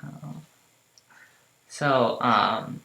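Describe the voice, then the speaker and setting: A young man talks in a loose, rambling way, full of fillers, while he explains what he is building. His voice is bright and forward, and the room gives it a noticeable echo. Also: medium pitch, drawn-out words, gravelly. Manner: rambling, chatty, many fillers like "um" and "like". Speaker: channel host. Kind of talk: casual commentary over a speed build.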